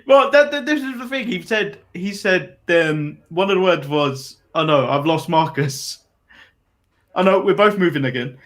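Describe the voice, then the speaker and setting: A man's voice: sits high, expressive, normal speed, a bit bright. A man co-hosting a casual wrestling podcast, recapping matches from notes with fillers and laughs. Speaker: podcast co-host. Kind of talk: casual wrestling review chat.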